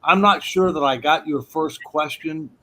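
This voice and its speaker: A man's voice: a little high, measured pace, sharp tone. A man hosting an economics forum, explaining policy effects with frequent hesitations and "okay" checks.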